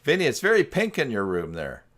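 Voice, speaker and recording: A man's voice: medium pitch, huge pitch swings, a little fast, a bit bright. A man hosting a live stream in casual, teasing chat on a video call. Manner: joking, teasing.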